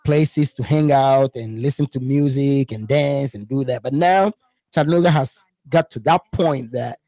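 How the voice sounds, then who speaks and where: A man's voice: medium pitch, normal speed, mellow, no room sound. Ghanaian man, dry studio sound. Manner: repeats words when starting a thought. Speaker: radio guest, musician. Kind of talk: casual radio interview. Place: radio studio.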